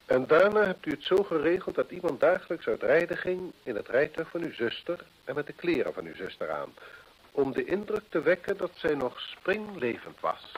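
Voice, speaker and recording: An actor playing a sharp, dryly superior male detective in a radio play, speaking formally and probingly with teasing dryness. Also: on the high side, very animated, normal speed, slightly rough, exaggerated voice. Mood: stern, incisive. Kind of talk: Dutch radio drama acting. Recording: muffled vintage radio play recording.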